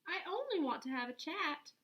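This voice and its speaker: A woman voicing a sly, menacing big bad wolf from a storybook in a coaxing, drawn-out manner. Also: high-pitched, big pitch swings, a bit bright, pitched above the performer's own voice.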